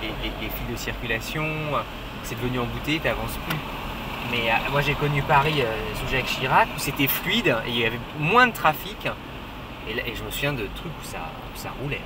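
A middle-aged man chatting casually while he drives, animated and often stumbling over his words. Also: medium pitch, fairly quick. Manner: animated, repeats himself. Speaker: driver on a road trip. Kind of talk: casual chat in a car. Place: inside a moving car. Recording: distant mic, loud road noise.

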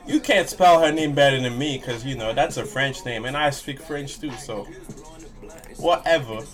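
A man in his twenties giving casual, excitable reaction commentary with slangy, playful exclamations. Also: mid-range voice, moderate pace. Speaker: reaction video host. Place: small bedroom indoors. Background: hip hop music playing from the watched video.

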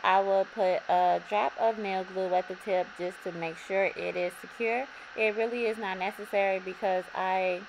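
A young woman with a slightly raspy voice, calmly talking viewers through a hair braiding tutorial in her bedroom. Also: medium pitch. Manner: relaxed, repetitive instructions addressing viewers. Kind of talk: step-by-step tutorial narration. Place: bedroom, indoors. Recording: audible background noise.

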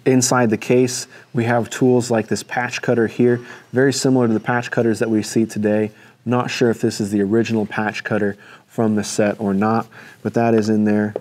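A young man presenting and describing a pair of antique pistols in a measured, detailed way, seated at a table in an indoor studio set. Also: fairly low pitch, flat delivery, a little mellow. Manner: measured, detailed describing while handling objects. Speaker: firearms presenter. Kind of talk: informal product walkthrough.

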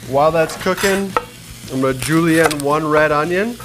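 A man's voice: on the high side, normal speed, background noise. Upbeat man hosting a cooking show. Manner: energetic, chatty, addresses viewers as guys. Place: home-style studio kitchen, indoors. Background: background music, sizzling pan.

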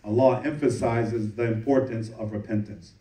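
A man giving a Friday sermon as imam, reciting Arabic verses quickly and translating them into English. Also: lowish voice, fairly even intonation, dark tone. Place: mosque prayer hall. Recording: echoey room, voice from a distance.

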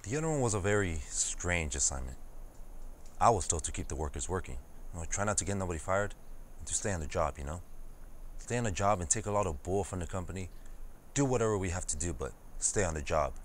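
Low-voiced plain-spoken farmworker organizer. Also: male voice, animated, quick pace, slight background noise. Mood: recounting, matter-of-fact. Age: 20s. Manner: conversational storytelling with frequent "you know". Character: farmworker turned union organizer, streetwise and plain-spoken, recounting old struggles. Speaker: actor. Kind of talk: dramatized oral-history monologue.

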